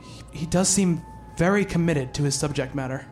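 A man acting a higher-voiced, earnest gothic narrator. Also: a little mellow, close to an everyday voice. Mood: concerned, reassuring. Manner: measured, literary, formal storytelling. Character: earnest, devoted boyfriend narrating a horror tale. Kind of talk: dramatic radio play narration and dialogue. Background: music.

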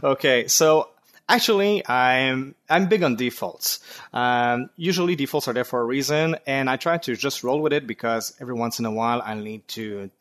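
A man with a French Canadian accent talks as a podcast guest, speaking at a middling pitch in a thoughtful, unhurried way. He pauses often on "uh" while he sorts his thoughts. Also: fairly bright tone. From Quebec, Canada. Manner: thoughtful, frequent "uh" fillers. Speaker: guest developer. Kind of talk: casual podcast interview.

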